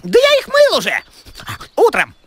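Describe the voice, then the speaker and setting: A man's voice: extremely high pitch, huge pitch swings, normal speed, slight background noise, full character voice. A man voicing a brash, stubborn young rabbit in a cartoon, with a cocky, bossy way of arguing. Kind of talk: animated cartoon dialogue.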